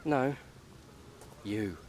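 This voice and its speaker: An actor playing a tipsy, sulky young son with hurt feelings in a radio soap. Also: male voice, fairly low pitch, lively intonation, extremely slow, a little mellow, slight background noise, low-quality recording. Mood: subdued, resentful.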